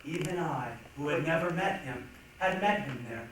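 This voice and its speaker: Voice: medium pitch, animated, moderate pace, fairly bright tone. A stage reader reciting literary texts with emphatic, dramatic delivery in a reader's theatre performance. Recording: echoey hall from far off, faint noise.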